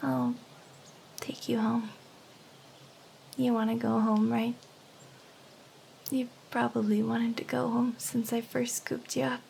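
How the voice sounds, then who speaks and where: Woman voicing a young harpy girl, anime ASMR roleplay, clingy and earnest, whispery, creaky edges, unhurried pace. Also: on the high side, level tone, a little mellow, slight background noise, full character voice. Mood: shy, wistful. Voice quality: breathy, often whispery. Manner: hesitant, halting, earnest. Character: young female harpy girl, hyper, clingy, lovesick and shy. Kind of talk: anime ASMR roleplay acting, intimate address to listener.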